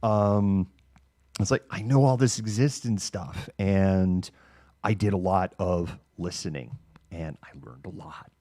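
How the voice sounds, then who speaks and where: Male livestream host, middle-aged, American. Low-pitched, dry sound, candid and halting off-the-cuff delivery. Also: warm tone, dry recording. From Massachusetts, United States. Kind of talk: casual monologue of personal reminiscence.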